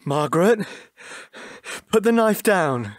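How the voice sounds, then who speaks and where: An actor playing a gentle, anxious husband in a radio drama, speaking in a hesitant, halting way. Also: male voice, sits high, big pitch swings, no echo, clean studio sound, heavily acted. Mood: shocked, pained. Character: gentle, patient, anxious husband pleading with his partner.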